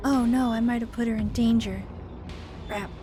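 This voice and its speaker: Female gamer narrating a story game: higher-pitched, expressive and casual, with music clearly underneath. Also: normal speed. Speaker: gamer narrating a visual novel playthrough. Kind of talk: reading game text aloud with casual commentary. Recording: music behind the voice.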